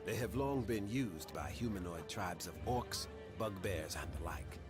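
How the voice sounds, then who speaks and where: A grave fantasy game mentor with a deep voice. He speaks formally and in measured, old-world phrases. Music runs clearly underneath his words. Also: lively intonation. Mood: measured, advising. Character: grave, formal man directing a hunt for cultists. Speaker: quest-giving game character. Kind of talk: scripted fantasy game dialogue.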